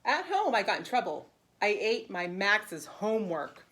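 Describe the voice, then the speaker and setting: A woman preschool teacher reading a storybook aloud in an animated, simple, child-directed way with expressive emphasis. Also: mid-range voice, measured pace.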